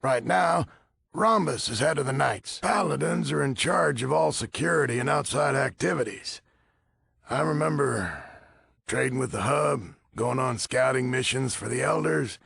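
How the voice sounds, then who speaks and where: Very gravelly, blunt old soldier. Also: male voice, medium pitch, moderate pace, a bit bright, dry recording, heavily acted. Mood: gruff, conversational. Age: older. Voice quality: gravelly, husky. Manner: blunt, folksy, swearing, easily exasperated. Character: gruff, blunt old soldier leader of a military order. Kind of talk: video game character dialogue.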